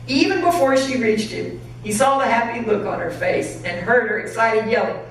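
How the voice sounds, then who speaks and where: A woman, a pastor, reading a story aloud in an expressive, dramatic narrating style. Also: a little high, normal speed. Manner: dramatic reading, acting out dialogue. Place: church sanctuary. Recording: very echoey hall, distant, faint noise.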